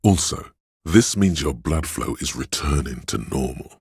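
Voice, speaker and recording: Very deep, gravelly sleazy tempter. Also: male voice, animated, a little slow, a little mellow, dry recording, studio quality, exaggerated voice. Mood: wry, knowing. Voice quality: gravelly, husky. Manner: coaxing, indulgent, theatrical. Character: inner voice of addiction, sleazy hedonistic male tempter. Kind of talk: video game character voice lines.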